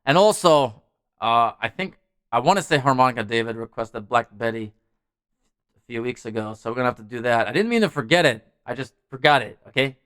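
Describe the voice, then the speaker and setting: Man, clear smooth voice, dry close sound, rambling casual livestream host. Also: mid-range voice, expressive, a little fast, fairly bright tone, dry recording. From Norway. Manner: rambling, reading and answering viewers' messages. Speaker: music show host.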